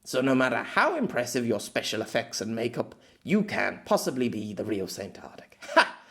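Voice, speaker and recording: A man's voice: mid-range voice, sing-song, normal speed, creaky, a bit bright. A man voicing a grumpy, condescending novelist with a pompous, exasperated, sardonic manner. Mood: smug.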